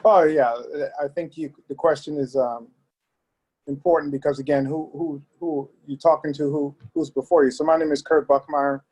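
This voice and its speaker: A man in his fifties from Trinidad, raised in New York, a pastor answering interview questions in a relaxed, plainspoken way. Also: a little high, normal speed, slightly rough, poor audio.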